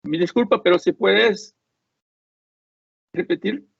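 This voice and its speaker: Gravelly, husky man lecturing over a call. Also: high voice, no echo. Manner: halting, many fillers and restarts. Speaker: conference speaker. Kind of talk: academic talk with Q&A. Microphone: video call. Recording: dull lo-fi call audio.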